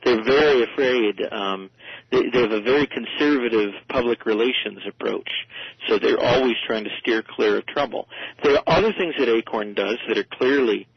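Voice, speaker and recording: A man with a creaky, gravelly voice gives informal political commentary as a radio guest. He speaks haltingly and rewords himself, in a dry, muffled recording. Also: medium pitch, dry recording. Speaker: interview guest, journalist and commentator.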